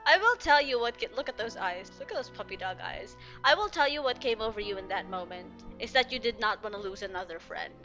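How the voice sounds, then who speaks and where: Female gaming creator: bright, expressive, gushing reactions, slightly dull lo-fi mic. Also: on the high side, brisk, hardly any pauses. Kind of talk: casual game reaction commentary. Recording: dull lo-fi mic, faint game music.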